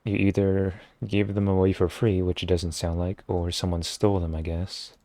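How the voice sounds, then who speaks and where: A man's voice: sits low, little pitch movement, normal speed, warm tone. A man streaming a game live, casually commenting and reading dialogue aloud.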